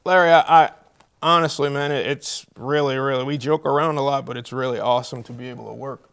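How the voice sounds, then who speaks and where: A man's voice: mid-range voice, fairly even intonation, brisk, fairly bright tone, clear, resonant tone. A man hosting a sports show, interviewing guests in a casual, informal and chummy way.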